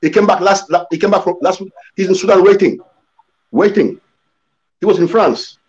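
An older man talking as a panel guest on a video call, halting, with many restarts and "you know" as he explains. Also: high voice, darkish tone. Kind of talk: casual discussion on a video call. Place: room indoors. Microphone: laptop webcam video call. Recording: slightly dull video-call audio.

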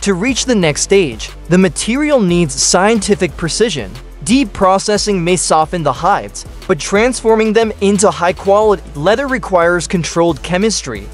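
Lively high-voiced male documentary narrator. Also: normal speed, few pauses. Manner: steady explanatory delivery in short listed phrases. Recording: voice-over with faint background music.

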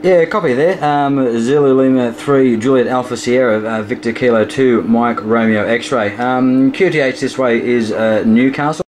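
A man's voice: mid-range voice, moderate pace, few pauses. A man chatting casually as an amateur radio operator during a contact. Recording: clearly noisy room recording by radio.

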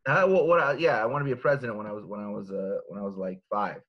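A man's voice: on the low side, steady tone, quick pace, dull audio. A man in his thirties from Los Angeles, a guest author talking casually over a video call from his living room, rambling with many fillers and restarts. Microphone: laptop webcam video call.

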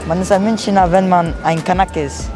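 A man's voice: sits high, steady tone, moderate pace, over music. A young man answering a street interviewer in a city square, slangy and hesitant with many fillers. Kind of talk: casual street chat.

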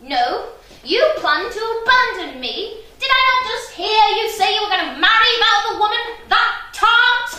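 A woman's voice: very high-pitched, animated, moderate pace, sharp tone, heavily acted. An actress playing a wronged young village woman in a stage melodrama, speaking in a wailing, exclamatory way with sighs from a small theatre stage. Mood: distraught. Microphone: far camera in audience. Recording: echoey hall, far-off mic, background noise.

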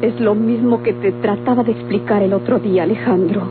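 An actress playing an anguished, defiant daughter in a Mexican radio drama, speaking with melodramatic pleading in a Mexican accent. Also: female voice, medium pitch, steady tone, a little fast, close to an everyday voice. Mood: weary, firm. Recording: tinny, humming old radio recording with music.

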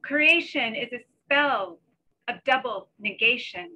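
A woman's voice: on the high side, lively intonation, slow pace, bright, forceful tone. A middle-aged woman, a poet presenting and reading her own work over a video call from home. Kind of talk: poetry reading with informal introductions. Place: small bedroom at home. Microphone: computer mic on a video call. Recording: slightly dull video call audio.

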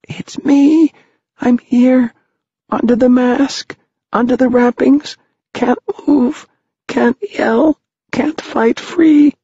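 A man's voice: very high-pitched, fairly even intonation, slow pace, dark tone, slightly rough, dry recording, muffled recording, voice pushed up, exaggerated voice. A man voicing a tiny, lost twelve-year-old boy trapped as a mummy in a storybook, crying out frantically in a small, mouse-like voice. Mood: frantic, pleading.

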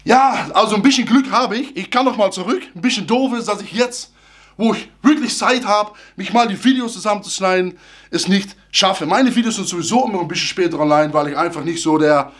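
A man's voice: high voice, fairly quick. Chatty man. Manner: chatty, animated, slightly broken grammar. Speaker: theme park YouTuber. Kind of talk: casual vlog talk to camera. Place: small room full of fan merchandise, indoors. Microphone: camera at close range.

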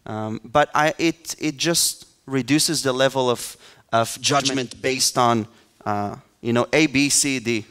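A young adult man with an Israeli accent speaks as an interview guest. His mid-pitched voice is smooth and very clear, and his delivery is conversational. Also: slow pace, fairly bright tone. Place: large hall stage, indoors. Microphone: headset.

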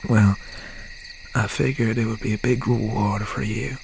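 A man playing an obsessed, bitter young serial killer boyfriend in an ASMR roleplay, speaking with breathy, half-whispered menace and pauses. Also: lowish voice, background noise. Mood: controlling, threatening. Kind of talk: ASMR roleplay, dramatic monologue. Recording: steady nighttime ambience over the voice. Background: crickets, insects and owl night sounds.

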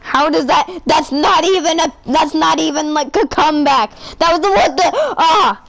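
Woman doing a boastful old goat-turned-T-Rex, high, taunting, dry close sound, game machinima. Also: normal speed, gravelly, fairly bright tone, no room sound. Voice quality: high-pitched voice. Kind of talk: amateur game machinima voice acting.

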